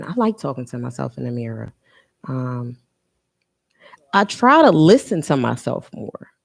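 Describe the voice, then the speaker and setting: A woman's voice: low-pitched, vocal fry. A woman in her thirties, an interview guest talking candidly and reflectively about her life, often restarting her sentences. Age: 30s. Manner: earnest and reflective, with frequent restarts and repeats. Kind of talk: candid personal conversation.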